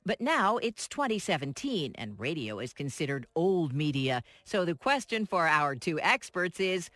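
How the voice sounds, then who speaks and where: A woman's voice: deep voice, sing-song, moderate pace, fairly bright tone, no echo. An American woman working as a news correspondent, narrating a scripted radio feature. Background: music.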